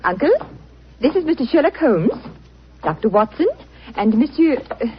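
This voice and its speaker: A woman's voice: high-pitched, very animated, normal speed. An actress playing a cool, guarded, self-possessed young woman in 1940s radio drama style. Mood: cool, polite. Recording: dull, slightly noisy 1940s radio recording.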